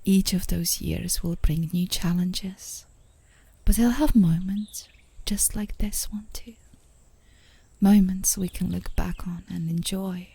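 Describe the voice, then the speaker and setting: A woman playing a tender, playful, adoring girlfriend in an intimate ASMR romantic roleplay, speaking softly and gently, often in a whisper. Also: lowish voice, fairly even intonation, no room sound, heavily acted. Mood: gentle, hopeful. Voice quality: soft, breathy, often whispering. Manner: gentle, affectionate, unhurried, giggly. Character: tender, playful, adoring girlfriend comforting her partner outdoors.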